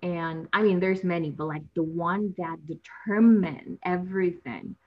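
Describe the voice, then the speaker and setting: A woman's voice: on the low side, fairly even intonation, a little slow. A young woman, a native Spanish speaker, talking as a guest in a casual interview, a bit nervous, laughing and restarting her sentences. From Latin America. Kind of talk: conversational interview. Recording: dull lo-fi sound.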